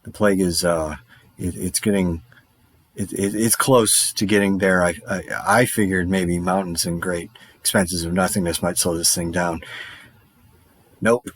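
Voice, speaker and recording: An actor playing a hesitant, awkward podcaster in a naturalistic audio drama, halting and stammering in a low-key, casual way. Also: male voice, steady tone, husky, dry recording. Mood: hesitant, resigned. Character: hesitant, awkward male podcaster enduring a zombie outbreak.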